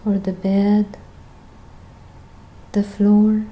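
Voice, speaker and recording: A woman guides a meditation in a gentle, soothing way. Her delivery is slow and unhurried, with long pauses between phrases. The tone is relaxed and mellow, and her pitch stays even at a middle height for a female voice. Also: low-quality recording.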